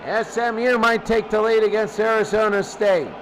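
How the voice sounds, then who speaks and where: High-pitched man calling football play-by-play. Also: steady tone, normal speed, fairly bright tone, a little hiss or hum, poor audio. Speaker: play-by-play commentator for a video game football stream. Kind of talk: sports play-by-play commentary.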